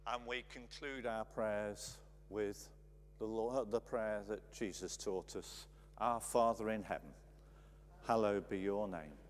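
A man leading a church service, reading the Lord's Prayer and giving out the next hymn in a slow, deliberate way. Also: lowish voice, steady tone, a little hiss or hum. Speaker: service leader. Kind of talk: leading liturgy. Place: large church, indoors. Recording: long church reverb.

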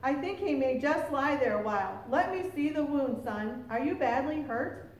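A woman's voice: high-pitched, animated, moderate pace, a little mellow. A woman voicing a worried, protective village mother in a storybook, with a concerned, motherly manner. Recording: roomy, distant mic, faint noise.

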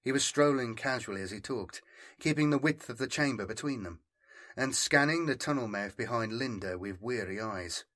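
A man's voice: mid-range voice, animated, normal speed, fairly bright tone. A man reading scripted fiction as an audiobook narrator.

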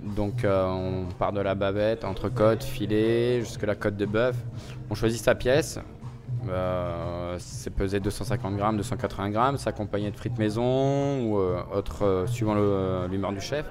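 Low-voiced restaurant owner, hesitant. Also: male voice, a little slow. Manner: relaxed, hesitant with many fillers. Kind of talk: casual interview. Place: small restaurant indoors. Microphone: handheld reporter mic. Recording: music playing behind.

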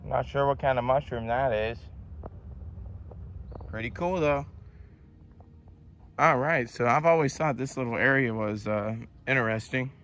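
A man's voice: medium pitch, measured pace, pauses often, fairly bright tone, a little hiss or hum. Easygoing West Virginian man on a trail. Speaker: vlogger. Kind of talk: casual hiking vlog. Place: outdoors on a wooded trail.

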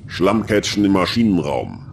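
A man's voice: low voice, big pitch swings, normal speed, vocal fry, darkish tone, slight background noise, full character voice. An actor playing a cocky, wisecracking male starship crewmate with a dry, teasing manner in a sci-fi audio drama. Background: music.

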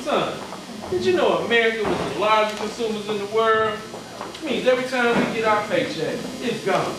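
A man's voice: high voice, sing-song, normal speed. An actor playing a blunt, irritable, opinionated middle-aged father in a live urban stage play. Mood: grumbling, indignant. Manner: blunt, profane, grumbling. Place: theatre stage before a live audience. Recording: roomy far-off stage recording, noisy audience.

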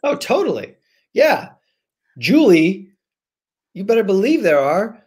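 A man in his forties chatting casually as a guest author on a video call, with a joking, slangy, animated manner. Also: sits high, takes it slowly, breathy edge. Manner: animated, joking, slangy, acts out imagined remarks. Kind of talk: casual chat interview. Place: small room at home, on a video call.

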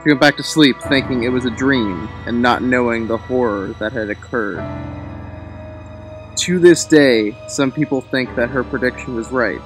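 A young man giving a mock-dramatic story reading, theatrical, breaking into casual wry asides. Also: medium pitch. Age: young adult. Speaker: host reading own childhood story. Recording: music under the voice. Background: music.

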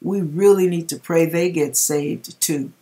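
A middle-aged woman reading aloud and commenting in a deliberate, dramatic way with pauses, recorded in a home kitchen. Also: low voice, warm tone. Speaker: vlogger reading a viewer's dream and commenting. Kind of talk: expressive read-aloud with religious commentary.

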